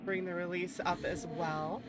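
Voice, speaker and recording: A woman's voice: on the low side, little pitch movement, measured pace, very noisy. A woman hosting a livestream in a casual, chatty and playful way. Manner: playful, chatty, thanks and greets viewers. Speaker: livestream host, VTuber.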